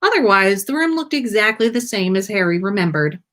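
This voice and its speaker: A woman reading a story aloud in an expressive, scripted storytelling style. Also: mid-range voice, fairly quick. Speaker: fan fiction reader on a podcast livestream.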